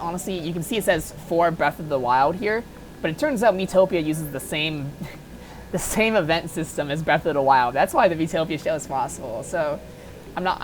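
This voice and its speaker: Woman w low voice doing an off the cuff tutorial, kinda thinking out loud, bit of noise behind her. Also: low-quality recording. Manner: informal, offhand, thinking aloud. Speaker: tutorial host. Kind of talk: casual unscripted software modding tutorial.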